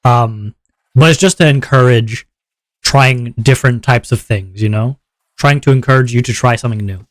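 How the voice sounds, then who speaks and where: A man's voice: moderate pace, no room sound. A man streaming live, explaining his event's rules to chat in a casual, upbeat way and checking in with viewers often. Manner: relaxed lower voice after a viewer redeem.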